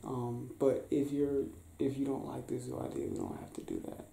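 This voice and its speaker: A young man doing an affectionate ASMR boyfriend roleplay, soft-spoken, halting and playful, as if chatting in bed. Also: medium pitch, wildly swooping pitch, vocal fry. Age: young adult. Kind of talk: ASMR boyfriend roleplay, improvised intimate chat. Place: small indoor bedroom, lying on a bed. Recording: roomy bedroom sound with faint hiss.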